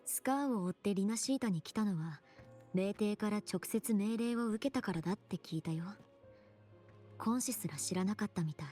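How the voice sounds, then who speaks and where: A woman's voice: medium pitch, very fast, warm tone, lightly acted. A woman voicing a composed, thoughtful young female companion in a video game. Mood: serious, thoughtful. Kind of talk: Japanese video game voice acting. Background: game music.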